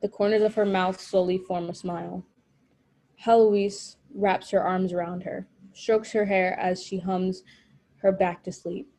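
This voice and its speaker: A woman's voice: on the low side, flat delivery, a little slow, dark tone, low-quality recording. A woman narrating stage directions from a screenplay at a table read.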